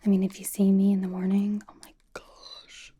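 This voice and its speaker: A woman playing a bubbly, nervous young K-pop idol in an ASMR roleplay, whispering softly in a chatty, rambling, reassuring way. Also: medium pitch, monotone, moderate pace, lo-fi sound, slight background noise, natural voice. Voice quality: soft, breathy whisper.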